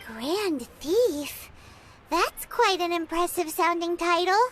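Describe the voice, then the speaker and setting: A woman voicing a small, excitable, timid, childlike female fairy companion in an anime-style game, exclaiming as she talks. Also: extremely high pitch, takes it slowly, slight background noise, heavily acted. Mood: curious, surprised. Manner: refers to self in third person, exclaiming. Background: game music.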